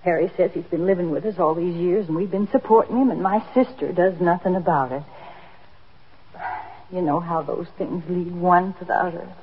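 Through a dull, hissy old radio recording comes a worried middle-aged housewife played by a woman. She speaks earnestly and hesitantly at a medium pitch, remorseful and pleading, in the manner of 1950s radio drama. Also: moderate pace, close to an everyday voice. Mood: explaining, uneasy.

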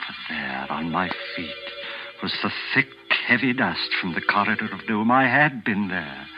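A frightened rich old patient tells his nightmare in a low voice, tense and breathless with dread. It is a muffled 1940s radio broadcast with music playing behind him. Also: very animated, normal speed. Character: frightened rich older male hospital patient. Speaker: lead actor and first-person narrator. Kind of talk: 1940s radio drama acting.

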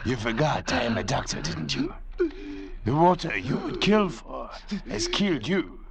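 An actor playing a cunning, controlling castaway doctor with measured, calculating, theatrical delivery in 1940s radio drama style. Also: male voice, medium pitch, huge pitch swings, gravelly, a crowd in the background. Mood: gloating. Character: cunning, controlling male doctor adrift in a lifeboat. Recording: noisy vintage radio recording.

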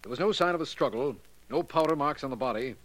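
An actor playing an earnest, matter-of-fact district attorney with clipped delivery, in a 1940s radio crime drama style. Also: male voice, medium pitch, expressive, fast, a bit bright, no room sound, natural voice, poor audio. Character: earnest, official male district attorney, easily corrected.

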